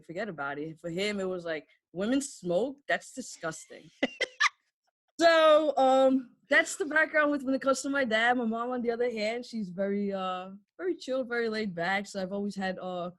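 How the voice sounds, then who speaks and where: A woman's voice: mid-range voice, moderate pace. A woman in her thirties from New York, a podcast guest telling her story in casual chat, candid and laughing often. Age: 30s.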